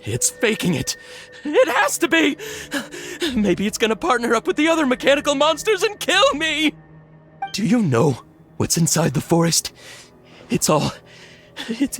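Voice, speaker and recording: A man voicing a panicked, delirious herb gatherer in a video game, stammering and halting, with nervous giggles. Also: extremely high pitch, fairly bright tone, exaggerated voice.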